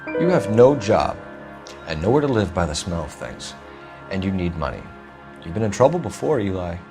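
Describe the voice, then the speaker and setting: A man's voice: sits low, sing-song, moderate pace, creaky, over music, exaggerated voice. An actor playing a righteous, proud detective and army officer in a video game, an American man who speaks in a stern, probing, precise way. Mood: accusing, stern. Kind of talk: dramatic video game acting.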